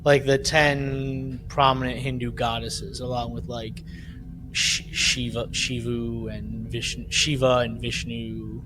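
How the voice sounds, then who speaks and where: A man co-hosting a podcast in a casual fan discussion, animated and rambling as he searches for words. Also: medium pitch, slow, over music. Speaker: podcast co-host. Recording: clear background noise throughout.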